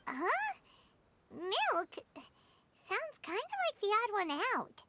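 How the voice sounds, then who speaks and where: A woman voicing a small, cheeky floating fairy companion with a high, squeaky, childlike voice, in a game cutscene. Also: huge pitch swings, slow, very noisy, full character voice. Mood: curious. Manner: talks about herself in third person.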